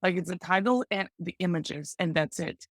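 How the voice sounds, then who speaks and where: A woman's voice: on the low side, moderate pace, dry recording, studio quality. A woman guest on a podcast, giving practical e-commerce advice in a chatty, enthusiastic way, sprinkling in lots of "like". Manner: chatty, enthusiastic, full of "like" fillers. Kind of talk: casual advice-giving interview talk.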